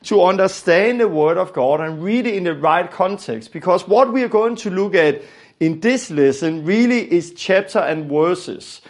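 A man's voice: sits high, lively intonation, moderate pace. A man from Denmark with a Danish accent, teaching a religious lesson earnestly and repeating phrases for emphasis.

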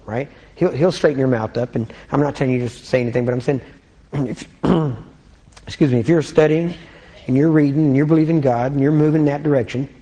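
A man with a Texan accent preaching an informal sermon, keeping it conversational with frequent checks like "amen?" and clearing his throat often. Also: mid-range voice, mellow, low-quality recording.

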